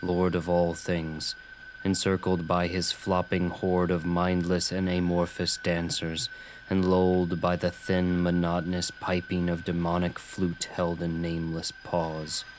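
A man's voice: deep voice, monotone, measured pace, a little hiss or hum. An actor in a radio drama playing a troubled, brooding man haunted by visions of cosmic horror. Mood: grave, ominous.

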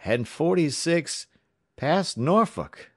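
A man's voice: mid-range voice, big pitch swings, normal speed, no room sound, studio quality, voice pushed up. Man as a grave, strained railway dispatcher. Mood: stunned. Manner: strained, deferential.

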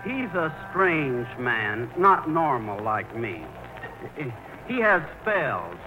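Timid, dithering middle-aged mama's boy, swooping pitch. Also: sing-song, very slow, music in the background. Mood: flustered, earnest. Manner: hesitant, earnest, stammering nervously. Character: baffled, timid 38-year-old mama's boy. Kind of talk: 1940s radio comedy acting. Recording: muffled, noisy old radio broadcast.

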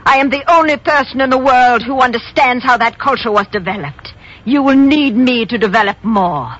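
A woman's voice: a little high, normal speed, a bit bright, breathy edge. An actress playing a proud, scheming woman scientist in a 1950s radio melodrama, with dramatic, formal diction. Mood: proud, defiant. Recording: muffled 1950s radio recording.

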